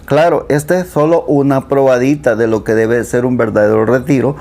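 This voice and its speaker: A man's voice: medium pitch, fairly even intonation, normal speed, darkish tone. A man delivering a closing message, greeting listeners with blessings and formal thanks. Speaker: community member thanking viewers.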